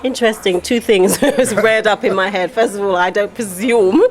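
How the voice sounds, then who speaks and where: A woman's voice: mid-range voice, normal speed. A middle-aged woman from Guyana, an author and poet, talking in a casual interview with many fillers and restarts.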